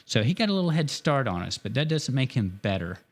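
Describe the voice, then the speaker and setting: A man's voice: mid-range voice, very animated, a little fast. A man drawing on a live art stream, chatting casually and joking while he thinks aloud about his strokes. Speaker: artist demonstrating a drawing.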